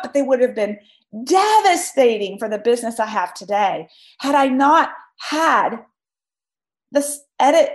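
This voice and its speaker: A woman with a high voice, made scratchy by a cold, hosts a solo podcast. She talks emphatically and right at her listeners, and the sound rings in an echoey room. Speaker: podcast host. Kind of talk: solo podcast talk, advice.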